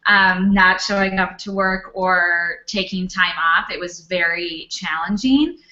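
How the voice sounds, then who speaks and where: Chatty young woman, bright lo-fi sound. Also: lowish voice, a little slow. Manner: chatty, many fillers. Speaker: panelist, former English teacher. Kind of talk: Q&A panel talk. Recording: dull lo-fi recording.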